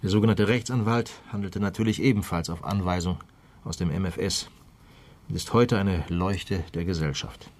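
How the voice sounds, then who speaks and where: Low-voiced, probing police detective. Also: male voice, darkish tone. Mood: grimly insistent. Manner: pointed, deliberate, insistent questioning. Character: shrewd, probing male police detective pressing a suspect. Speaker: actor in a radio crime drama. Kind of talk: German radio play dialogue.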